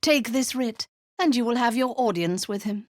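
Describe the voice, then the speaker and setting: A woman's voice: fairly high pitch, lively intonation, moderate pace, a little mellow, no room sound, studio quality, lightly acted. An actress voicing a cruel, zealous female warlord in a video game, giving orders in a cold, commanding tone with hissed half-whispers. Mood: menacing.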